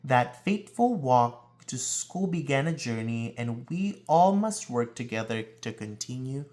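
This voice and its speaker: A man reading a children's picture book aloud in a deliberate, expressive storytelling delivery, drawing out words for emphasis. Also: medium pitch. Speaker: read-aloud reader.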